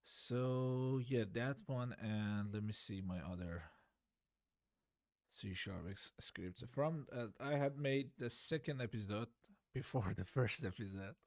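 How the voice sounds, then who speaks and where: Slow, hesitant man. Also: medium pitch, no room sound, clean studio sound. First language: Farsi. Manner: hesitant, searching for words, self-deprecating. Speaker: beginner game developer. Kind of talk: unscripted coding walkthrough.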